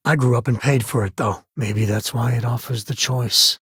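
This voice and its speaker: An actor voicing a roguish, battle-worn spacefaring frontier ranger in a video game, plain-spoken and folksy with a husky voice. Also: male voice, medium pitch, steady tone, normal speed, fairly bright tone, no room sound, studio quality. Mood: wry, easygoing. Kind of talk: scripted video game voice lines.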